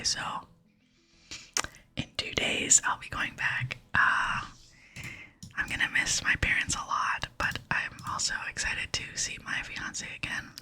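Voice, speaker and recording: A young adult woman with an American accent whispers softly and intimately in a casual, rambling ASMR chat as a gaming host, close to the microphone. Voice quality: breathy, unvoiced whisper.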